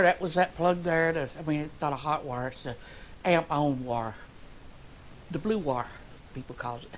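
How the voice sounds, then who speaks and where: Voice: medium pitch, fairly bright tone, a little hiss or hum. A vlogger giving a casual, rambling update while working on a motorcycle in a shed, joking along in folksy, colloquial grammar. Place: shed workshop.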